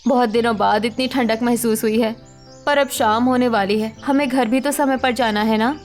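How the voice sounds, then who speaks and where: A woman's voice: fairly high pitch, fairly even intonation, normal speed, soft background music, natural voice. A woman voicing a practical village daughter-in-law in a cartoon story. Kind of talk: cartoon moral story acting.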